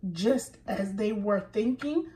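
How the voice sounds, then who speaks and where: A woman reading a children's picture book aloud in a slow, expressive storytelling manner. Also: mid-range voice, distant mic.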